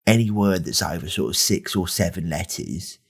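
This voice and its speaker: A man playing a dim, earnest co-host on a parody podcast, rambling and hesitant in a deadpan, husky voice. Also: deep voice, moderate pace, full character voice. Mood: earnest, puzzled.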